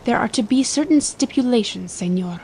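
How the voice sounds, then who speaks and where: A woman's voice: on the high side, expressive, a little fast, creaky, warm tone, a little hiss or hum. A woman playing a proud, defiant young noblewoman in a dramatic audiobook, speaking with formal, impassioned dignity. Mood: firm, resolute. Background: ambience under the voice.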